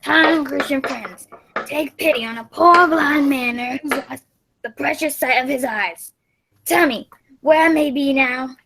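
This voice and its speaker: A woman's voice: sits high, unhurried, background noise. A woman playing a menacing blind old male beggar pirate in a radio play, wheedling or sharply commanding.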